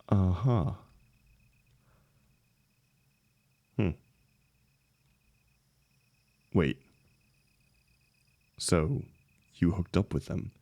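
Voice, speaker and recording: Very deep, gravelly young heartbreaker, acted by a man. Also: warm tone, no echo, full character voice. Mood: surprised, curious. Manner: casual, halting, emotional, swinging emphasis. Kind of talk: intimate one-sided audio roleplay.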